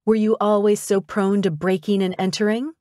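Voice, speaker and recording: A wry young woman from an audiobook story , acted by a woman narrator. She has a lightly sarcastic edge and pitches above the narration, in a close, dry sound. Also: expressive, normal speed, a little mellow, no room sound, natural voice. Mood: wry, defiant.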